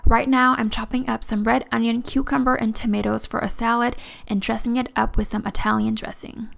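A woman's voice: on the high side, level tone, a little fast, vocal fry, a bit bright. A young woman home-making vlogger giving a casual voice-over narration. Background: background music.

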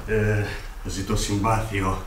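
A man's voice: lowish voice, little pitch movement, heavy background noise, natural voice. An actor playing a humble, sly working man in a radio play, speaking in a deferential, rustic, hesitant way. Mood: meek, apologetic. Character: humble, sly working-class carpenter, obsequious and pious. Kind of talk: radio theatre acting.

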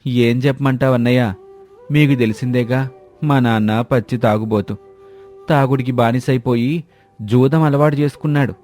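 A man's voice: medium pitch, steady tone, normal speed, long pauses, darkish tone, soft background music, close to an everyday voice. Man playing a humble, pleading poor village cousin. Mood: pleading, sorrowful. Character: destitute, grateful village cousin seeking help. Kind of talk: dramatised moral story dialogue.

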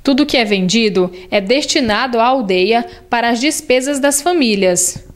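Brisk woman narrating a news report. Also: a little high, expressive, a little hiss or hum.

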